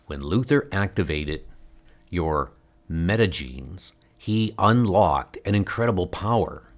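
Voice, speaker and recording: A man voicing a grave, earnest older scientist hero in a comic book dub, explaining things deliberately. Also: deep voice, lively intonation. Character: grave older male scientist hero hunting missing niece.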